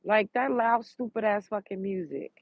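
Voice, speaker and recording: A woman's voice: medium pitch, moderate pace, highs cut off. A congested woman from Brooklyn, chatting bluntly and profanely on a live stream, outdoors on a street, reading viewer comments. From Brooklyn, New York.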